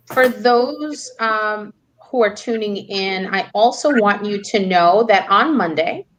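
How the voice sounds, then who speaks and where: Upbeat woman moderator, fairly high voice, exclamatory, on a video call. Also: fairly bright tone. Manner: upbeat, exclamatory, laughs often. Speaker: panel host, moderator. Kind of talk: moderating a live online panel.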